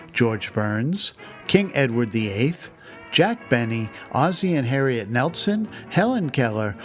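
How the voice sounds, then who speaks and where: A man hosting a podcast, reading a scripted introduction. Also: medium pitch. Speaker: podcast host.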